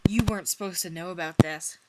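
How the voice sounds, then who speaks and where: A woman's voice: lowish voice, brisk, heavy background noise, close to an everyday voice. A woman voicing a nervous, defensive young man in love, stammering and pleading in a dramatic fan fiction reading. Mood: faltering.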